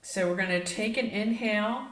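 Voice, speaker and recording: A woman leading a guided yoga relaxation as the instructor, speaking in a gentle, measured, inviting way. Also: mid-range voice. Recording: echoey, reverberant room.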